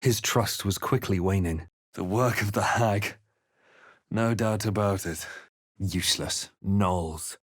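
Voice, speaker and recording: A man playing a grave, watchful adventurer hero in a fantasy video game, speaking in a measured, dramatic, introspective way. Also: fairly low pitch, no room sound, studio quality. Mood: grave, brooding. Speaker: video game player character. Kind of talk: scripted narration and character lines for a fantasy game.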